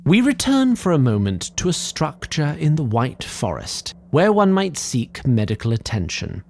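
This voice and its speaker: A man narrating a scripted fantasy audio drama in a formal, deliberate way, addressing the listener. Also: fairly high pitch, huge pitch swings, darkish tone.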